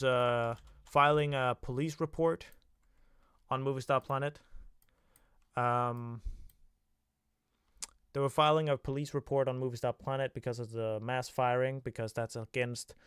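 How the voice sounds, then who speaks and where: A young man chats loosely on his livestream, stumbling through thoughts with plenty of filler sounds. His voice is smooth and clean at a middle pitch, recorded dry and close. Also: fairly bright tone, no room sound. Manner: rambling, hesitant, many filler sounds. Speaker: livestream host. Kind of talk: casual livestream chat.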